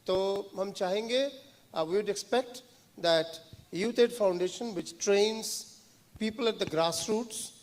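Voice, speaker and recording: A man's voice: sits high, moderate pace. A man with an Indian accent moderating a panel discussion, asking long questions and switching between languages. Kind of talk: moderating a panel in mixed Hindi and English.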